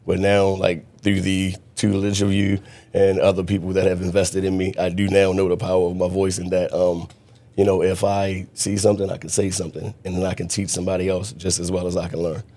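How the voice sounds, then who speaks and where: A man being interviewed as a mentor, talking in an earnest, reflective way with frequent restarts and a naturally loud voice. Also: low voice, monotone, fairly quick. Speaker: guest mentor. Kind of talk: conversational interview.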